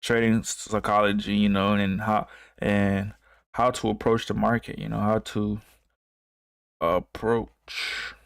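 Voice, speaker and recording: A man in his twenties from Uganda who moved to America, hosting a casual livestream and talking in a halting, rambling way, repeating phrases and circling back. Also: fairly low pitch, level tone, creaky, clear, resonant tone. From Uganda, moved to America as a child. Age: 20s. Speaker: trading streamer and host.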